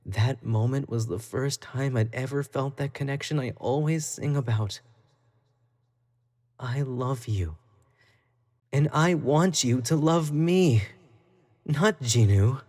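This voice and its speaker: A man's voice: mid-range voice, slow pace, long pauses, a little mellow. A man playing an obsessive, jealous young pop idol in a dramatic roleplay monologue, speaking intimately to the listener and swinging between sweet pleading and cold condescension. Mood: lovesick, pleading.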